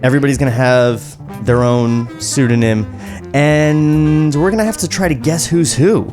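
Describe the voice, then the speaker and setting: A man's voice: mid-range voice, moderate pace, over music. An American man co-hosting a podcast, chatting casually with some swearing and reading a script aloud.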